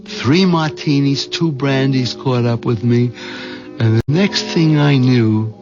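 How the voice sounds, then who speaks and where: A man's voice: mid-range voice, takes it slowly, dull audio, loud music behind the voice, lightly acted. A man playing a nervous, squeamish husband in an old-time radio drama, with a fretful, hesitant, whining delivery. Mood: weary, rueful. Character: nervous, squeamish, henpecked husband plotting murder, hapless adulterer.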